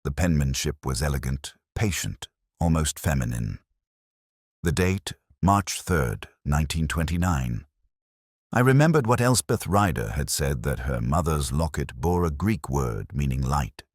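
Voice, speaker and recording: Very deep-voiced man narrating a detective story. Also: expressive, dry recording, studio quality. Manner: measured, solemn, reflective. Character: melancholy, erudite male English police inspector narrating his case. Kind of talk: scripted literary detective narration.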